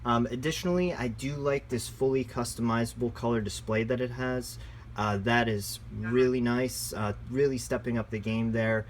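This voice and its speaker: A man's voice: medium pitch, steady tone, moderate pace, slight background noise. A man giving a casual, unscripted product review as a shop presenter, in a conversational, enthusiast way.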